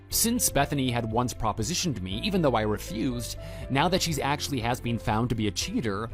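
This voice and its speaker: A man voicing a stern, principled former schoolteacher in a scripted audio drama, speaking in a measured, matter-of-fact way. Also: medium pitch, lightly acted. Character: stern, principled male former homeroom teacher, calm and reproving. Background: background music.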